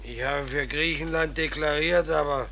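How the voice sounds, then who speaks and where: Creaky, sharp-voiced ship's captain. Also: male voice, on the high side, steady tone, normal speed, slight background noise. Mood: dismissive. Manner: curt, officious, dismissive. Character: gruff, impatient male captain of a shady tramp ship. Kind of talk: 1940s German radio play acting.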